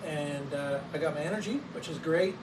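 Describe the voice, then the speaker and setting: A hoarse man getting over a cold, chatting casually to camera about his clinic's equipment. His voice sits a little high, and he stops often on fillers and the odd cough. He sounds set back from the mic, with steady noise behind him. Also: a little fast. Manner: coughs between sentences, many fillers. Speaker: wellness clinic owner. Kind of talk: casual educational talk to camera. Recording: distant mic with clear background noise.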